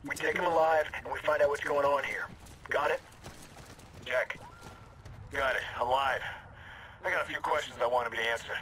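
An actor playing a tense special forces soldier in a military shooter game, giving clipped, urgent commands and callouts. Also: male voice, medium pitch, steady tone, normal speed, pauses often, fairly bright tone, lightly acted, poor audio. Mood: focused, terse. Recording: gunfire and battle noise behind. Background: gunfire, night combat ambience.

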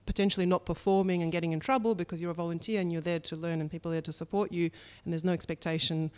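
A woman's voice: on the low side, steady tone, rapid-fire, a little mellow, clear, resonant tone. A woman in her thirties, originally from Russia and, talking candidly as a podcast guest on a studio mic, with frequent ums. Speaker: startup co-founder, podcast guest. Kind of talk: relaxed interview conversation. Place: bright studio room with a window. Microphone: studio mic on a boom arm.

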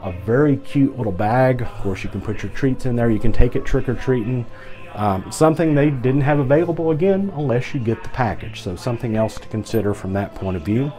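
A man's voice: medium pitch, warm tone. A middle-aged man giving a chatty, rambling product review as a travel vlogger, filmed at arm's length on a cruise ship balcony. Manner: chatty, rambling, with fillers and restarts. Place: atrium balcony of a large cruise ship, indoors. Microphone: camera held close at arm's length.

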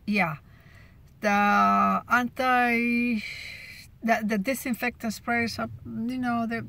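A woman's voice: medium pitch, fairly bright tone, slight background noise. Chatty woman vlogging from her car. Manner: chatty, restarts often, laughs at gross moments. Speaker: cashier sharing her workday. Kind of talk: casual car vlog chat. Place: inside a parked car. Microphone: phone camera at arm's length.

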